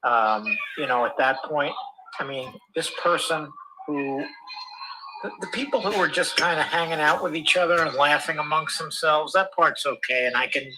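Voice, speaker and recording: A man calling in to a live stream, speaking haltingly and with frustration. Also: a little high, background noise. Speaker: caller. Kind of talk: live stream call-in talk.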